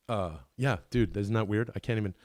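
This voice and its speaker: Podcast guest, male, rough low-today voice with some fry, loose rambling delivery. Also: darkish tone, no echo, studio quality. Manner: rambling, full of fillers and restarts. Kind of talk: casual conversation.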